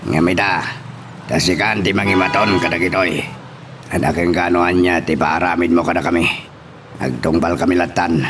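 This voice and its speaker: Casting: male actor as loyal crime-family henchman, very low voice, Ilocano accent, deferential radio drama delivery, noisy background. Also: level tone, normal speed, lightly acted. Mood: earnest, deferential. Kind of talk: Filipino radio drama acting. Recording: clear background noise.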